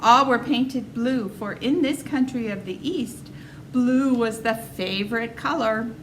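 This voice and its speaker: Higher-voiced woman reading a children's story. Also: a little slow, slight background noise, low-quality recording. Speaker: storybook reader. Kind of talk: read-aloud children's story narration.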